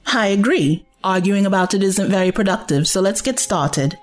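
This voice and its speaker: An actress playing a level-headed, plucky companion to a time traveler in an audio drama, frank and diplomatic. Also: female voice, medium pitch, animated, moderate pace, slight background noise, natural voice. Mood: firm.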